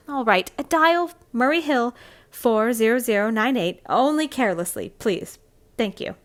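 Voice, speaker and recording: Woman acting frantic bossy lady on the phone, high swoopy voice, old radio suspense drama, stammery and indignant. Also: lively intonation, measured pace. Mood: exasperated, demanding. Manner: breathless stammering, indignant, exasperated sighs. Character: frantic, demanding, imperious woman on the telephone. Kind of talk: 1940s-style radio thriller acting.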